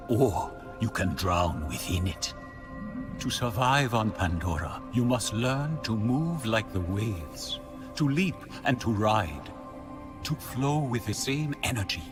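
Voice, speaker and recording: Wise alien forefather, game cutscene, solemn, poetic, unhurried, expressive swings in pitch. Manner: stately, poetic, formal phrasing. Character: ancient alien ancestor, wise, solemn, fatherly storyteller. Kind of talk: dramatic game cutscene acting. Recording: music behind the voice.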